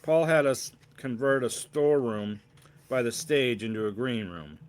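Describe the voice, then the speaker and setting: A man's voice: mid-range voice, measured pace, clear, resonant tone, close to an everyday voice. A man voicing a young associate pastor in a storybook, formal, polished and slightly self-important. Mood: explaining.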